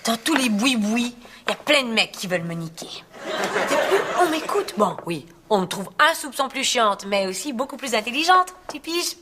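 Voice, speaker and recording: Brash slangy woman with fake parisian french accent, high swoopy voice, smug, tv comedy drama. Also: sing-song, moderate pace, noisy background. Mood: smug, defiant. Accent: put-on Parisian French. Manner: theatrical, slangy, self-satisfied. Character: brash Quebec woman decorator affecting a chic French accent.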